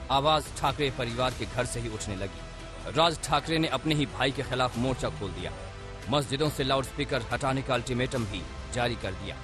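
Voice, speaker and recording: Male news voice-over with music behind. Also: mid-range voice. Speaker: news reporter. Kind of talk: scripted news voice-over narration. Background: background music under voice-over.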